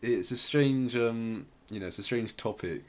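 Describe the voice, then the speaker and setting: A man's voice: mid-range voice, unhurried, darkish tone. A man hosting a podcast, casually explaining music in a hesitant, rambling way. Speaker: podcast host.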